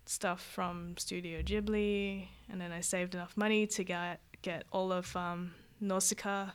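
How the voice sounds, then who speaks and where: A woman's voice: on the low side, little pitch movement, measured pace, clean tone. A woman from Vietnam, a guest illustrator, chatting casually in an interview. She rambles and corrects herself, with many "like" and "um".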